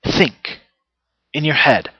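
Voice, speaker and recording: A man playing a wounded, indignant travelling stage actor in a theatrical monologue, emphatic and deliberate with long pauses. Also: sharp tone, dry recording. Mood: quietly menacing. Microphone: headset. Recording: muffled, dull headset recording.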